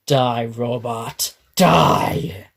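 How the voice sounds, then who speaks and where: A man voicing a cocky teenage boy hero in a spoken fan story, lines low and hushed. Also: drawn-out words, gravelly, full character voice.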